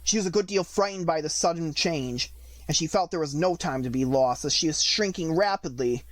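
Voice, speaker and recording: A woman reading a storybook aloud as an audiobook narrator, in an expressive scripted style. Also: sits low, a little fast, no echo.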